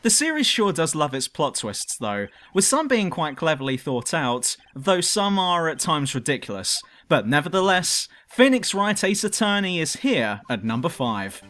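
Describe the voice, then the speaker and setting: A man's voice: on the high side, very animated, normal speed, few pauses, a bit bright. A man reading a scripted review narration in a dramatic, emphatic way, as the presenter of a countdown video. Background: music.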